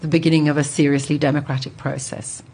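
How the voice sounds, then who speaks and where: A woman in her sixties telling her life story as an interview guest, articulate and thoughtful, with considered pauses. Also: sits low, level tone, a little mellow, slightly rough. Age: 60s. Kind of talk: reflective personal storytelling in an interview.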